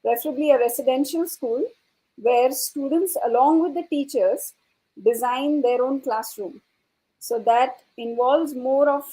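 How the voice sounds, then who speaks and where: A woman's voice: on the high side, darkish tone, husky, low-quality recording. A woman speaking as an educator on a panel, explaining her ideas. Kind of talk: explanatory panel discussion talk.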